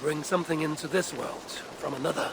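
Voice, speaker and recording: An actor playing an earnest, curious Viking warrior leader in a video game, speaking deliberately. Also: male voice, fairly high pitch, fairly even intonation, gravelly, noisy background. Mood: wondering. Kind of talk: video game cutscene acting.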